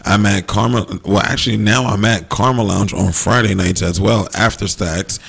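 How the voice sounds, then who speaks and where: A man co-hosts a podcast as a DJ. His voice is on the low side and has a gravelly fry to it, and he stays easygoing and laughs often. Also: steady tone, moderate pace, fairly bright tone, slight background noise. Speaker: DJ and podcast co-host. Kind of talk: casual podcast chat.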